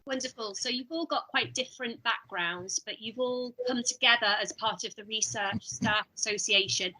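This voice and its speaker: A woman's voice: on the high side, moderate pace, pushed and bright, low-quality recording. A woman co-hosting a podcast, upbeat and encouraging as she passes between guests. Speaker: podcast co-host.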